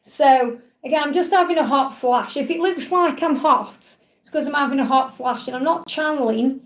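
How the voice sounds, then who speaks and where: A woman's voice: sits high, moderate pace. A woman in her forties chatting casually and upbeat as host of a live video, reading out viewer comments as she goes. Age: 40s. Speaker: livestream host. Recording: echoey room.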